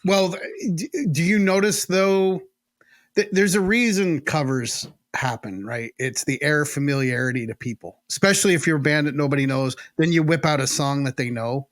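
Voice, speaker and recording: A man's voice: a little high, moderate pace, dry recording, clean studio sound. A man hosting a radio interview who asks eager, chatty questions. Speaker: radio host.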